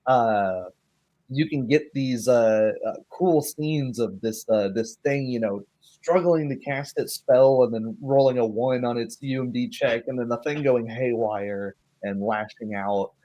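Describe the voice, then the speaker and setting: A man's voice: medium pitch, unhurried, warm tone, low-quality recording. A man chatting casually on a tabletop gaming stream, somewhat hesitant, with self-deprecating humour. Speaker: tabletop roleplaying player on a live stream. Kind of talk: casual chat.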